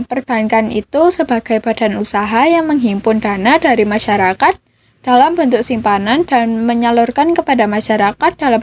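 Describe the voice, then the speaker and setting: A teenage young woman with an Indonesian accent, giving a scripted explanation as a student podcast co-host. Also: fairly high pitch. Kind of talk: scripted explainer read aloud.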